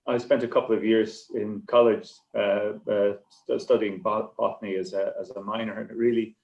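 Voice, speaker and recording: Male writer on a panel, halting and repetitive delivery, rambling answers, echoey recording. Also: lowish voice, flat delivery, gravelly, a little mellow, muffled recording. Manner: halting, stammering, repeats words and restarts. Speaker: short story writer on a festival panel. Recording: echoey, roomy sound.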